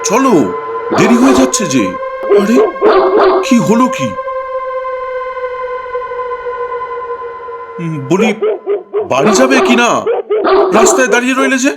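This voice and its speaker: A man's voice: very high-pitched, sing-song, moderate pace, long pauses. A man playing a fretful, simple-minded grown village son in a folk-tale cartoon, whiny, stammering and pleading. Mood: puzzled, coaxing. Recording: music behind the voice.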